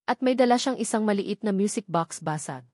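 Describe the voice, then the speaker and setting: A woman voicing a supportive aunt in an audio drama, recounting earnestly and deliberately. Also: mid-range voice, animated, mellow, no room sound, clean studio sound, close to an everyday voice. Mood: earnest, grave.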